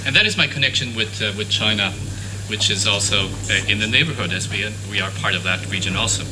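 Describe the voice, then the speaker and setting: A man whose first language is French gives a formal academic talk at a university conference, measured and with some restarts. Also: fairly low pitch. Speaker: conference speaker. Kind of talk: academic lecture. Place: university lecture hall. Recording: noisy conference room recording.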